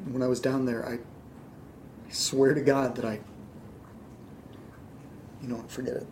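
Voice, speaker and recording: A man's voice: mid-range voice, flat delivery, normal speed, a little mellow, a little hiss or hum, natural voice. A man acting an exasperated, rattled young American in a naturalistic horror audio drama, emphatic and sweary. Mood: subdued, rattled.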